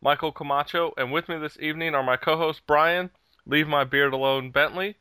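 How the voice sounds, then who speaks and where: A man's voice: a little high, fairly even intonation, brisk, bright, forceful tone, clean tone, no echo. A man hosting a casual gaming podcast, chatting easily and chuckling between remarks. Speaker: podcast host.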